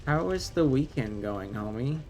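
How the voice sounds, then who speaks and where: Slow-talking streamer man, French native speaker. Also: medium pitch, lively intonation, darkish tone, slight background noise. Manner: bursts of exclamations, swears, chats with viewers. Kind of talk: casual live gameplay commentary.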